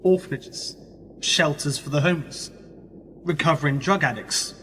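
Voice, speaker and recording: An actor playing a polite, cautious magazine journalist in a radio play, speaking in tactful, reserved, measured replies. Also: male voice, fairly high pitch, fairly bright tone, natural voice. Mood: earnest, polite. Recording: reverberant room, faint background noise.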